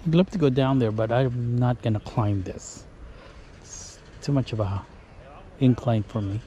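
A man's voice: medium pitch, measured pace, warm tone. A middle-aged man giving casual, chatty travel vlog commentary, thinking aloud as he goes. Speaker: travel vlogger. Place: outdoors at campground and beach. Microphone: handheld action camera at arm's length. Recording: wind and engine noise, action camera.

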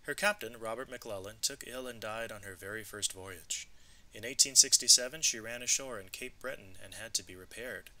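A man narrating an educational storybook read-aloud, with expressive, clearly emphasised delivery. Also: lowish voice, moderate pace, low-quality recording.

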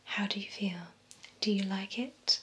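A young woman in her twenties whispers softly and attentively up close, role-playing a courteous vampire salon attendant in ASMR style. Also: medium pitch, steady tone, poor audio. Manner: soft, unhurried, attentive whisper. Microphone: close binaural microphone. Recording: close binaural whisper with faint hiss.

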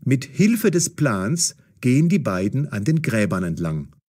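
Animated, slightly husky male narrator. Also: mid-range voice, a little slow. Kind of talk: scripted story narration.

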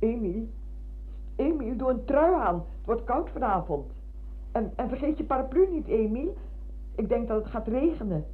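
An actress playing an older, fretful, chatty retired village schoolmistress in a radio drama, halting and repeating herself as she fusses. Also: a little high, lively intonation, breathy edge. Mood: fussing, scolding. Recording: muffled, humming old radio recording.

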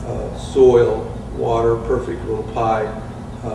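A man's voice: medium pitch, level tone. Male, fifties, hesitant technical explainer, informal, off-mic sound with noticeable background noise. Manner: halting, hesitant delivery. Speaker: sports turf manager. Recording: distant, roomy sound with clear background noise.

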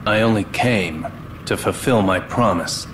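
A man playing an aloof, quietly determined young warrior in a video game, terse and restrained. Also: fairly low pitch, measured pace, noisy background, natural voice.

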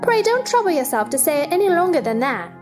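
A woman's voice: extremely high pitch, very animated, fast talker, over music. A woman playing Alice, a polite, puzzled young girl, earnest and matter-of-fact in an audio play.

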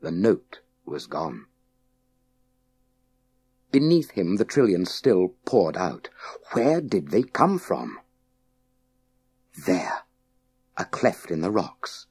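A man reading a children's science fiction audiobook in a measured, suspenseful, dramatic way with deliberate pauses. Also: deep voice, very animated, darkish tone, breathy edge. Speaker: audiobook narrator. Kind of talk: scripted dramatic narration of a children's sci-fi story.

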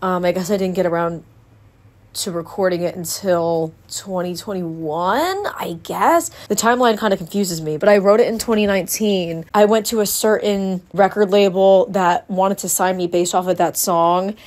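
A woman's voice: fairly low pitch, moderate pace, darkish tone. A woman in her twenties, a singer-songwriter, chatting casually in a voice-over about her unreleased songs, offhand and lightly profane.

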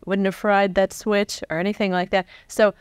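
An American woman in her forties interviewing onstage in a conversational, animated way, thinking aloud as she goes. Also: mid-range voice, moderate pace, clean tone, no room sound, studio quality. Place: stage before a live audience. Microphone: large foam-covered microphone on a stand close by.

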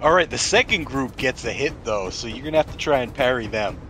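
A man's voice: medium pitch, expressive, brisk, pushed and bright, slight background noise. A man chatting casually as game master in a tabletop role-playing game.

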